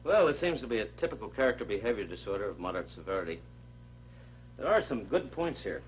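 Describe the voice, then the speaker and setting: An actor playing an army psychiatrist who gives a clinical, measured assessment. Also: male voice, fairly low pitch, sing-song, slight background noise. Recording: dull old film soundtrack.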